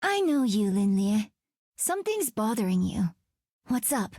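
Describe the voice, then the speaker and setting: A woman's voice: medium pitch, very animated, unhurried, dark tone, dry recording, clean studio sound. An actress playing a bubbly, playful young female pop-star hero in a video game, teasing and friendly.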